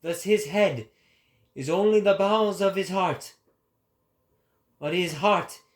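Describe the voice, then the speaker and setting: A man's voice: sits high, slow. A man voicing an impassioned, solemn prophet in a dramatic reading, with declamatory and emphatic phrasing. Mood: exalted, solemn. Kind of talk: dramatic reading of philosophical prose.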